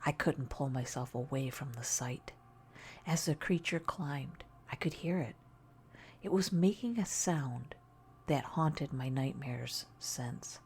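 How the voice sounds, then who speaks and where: A woman narrating a scary story in a soft, breathy ASMR style, often near a whisper, hushed and deliberate. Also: rumbling low, a little hiss or hum.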